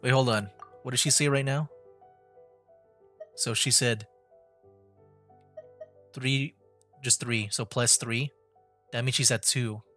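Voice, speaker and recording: A man's voice: mid-range voice, fairly even intonation, slow, long pauses, bright, forceful tone. A man giving casual livestream game commentary, hesitantly thinking aloud as he goes. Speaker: game streamer. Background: game music.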